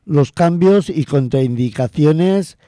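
A man hosting a radio program, reading scripted narration in a measured way. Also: on the high side, expressive, warm tone, no room sound, studio quality. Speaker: radio host. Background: music.

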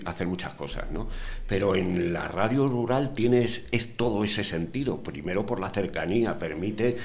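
An older man, a community radio veteran, answering interview questions in an unhurried way with many hesitations. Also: fairly low pitch, a little mellow.

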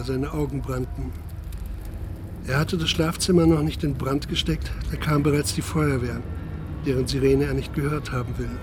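A man narrating a literary radio drama in a sober, measured manner, with music behind him. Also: fairly high pitch.

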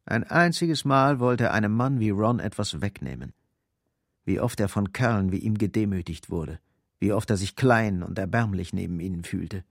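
A man's voice: lowish voice, moderate pace, creaky, no echo, studio quality. A man giving a grim, intense scripted narration of a crime story in a German radio drama. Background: music.

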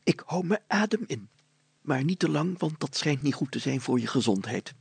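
A man voicing an excitable, chattering talking fly in a children's story. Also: fairly high pitch, moderate pace, gravelly, husky, no room sound, pitched above the performer's own voice, exaggerated voice. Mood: excited, chirpy. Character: chatty, fretful talking bluebottle fly, easily excited companion.

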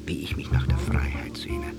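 A man playing a weary, melancholy lover who longs for freedom, in a poetic and deliberate literary monologue. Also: loud music behind the voice, close to an everyday voice. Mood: brooding, wistful. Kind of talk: dramatic literary monologue over plucked-string music.

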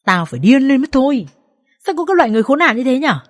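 A woman's voice: lowish voice, moderate pace, darkish tone, no echo, clean studio sound, natural voice. A woman voicing a blunt, fiercely loyal young woman who scolds coarsely and emphatically in an audio story. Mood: furious. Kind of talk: dramatised audio story dialogue.

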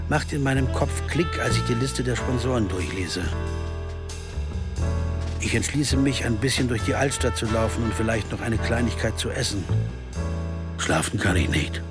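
A man's voice: mid-range voice, normal speed, close to an everyday voice. Introspective male sleuth over music, played by an actor. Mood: pensive. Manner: reflective, intimate storytelling. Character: troubled male American amateur sleuth, introspective, driven, restless. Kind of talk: radio drama acting with first-person narration.

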